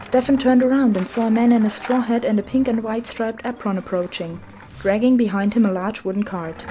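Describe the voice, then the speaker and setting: Mellow woman narrating a storybook. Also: a little high, steady tone, fairly quick, a little hiss or hum. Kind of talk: scripted children's storybook narration. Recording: music behind the voice.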